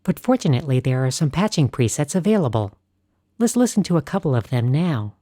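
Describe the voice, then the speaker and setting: Warm woman narrating a synth tutorial. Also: low-pitched, big pitch swings, a little fast, dry recording, clean studio sound. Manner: clear, explanatory tone. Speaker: host.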